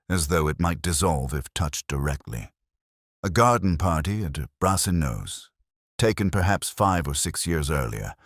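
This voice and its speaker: Very deep, brooding inspector, voiced by a man. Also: no echo, clean studio sound, heavily acted. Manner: measured, literary, reflective. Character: brooding, world-weary male police inspector recounting a case. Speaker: narrator. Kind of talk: scripted first-person detective story narration.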